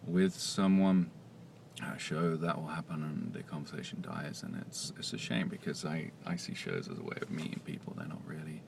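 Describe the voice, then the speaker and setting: A man who writes comics, talking quietly and hesitantly in a casual vlog monologue, with many pauses and fillers. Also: rumbling low, lively intonation, creaky, background noise.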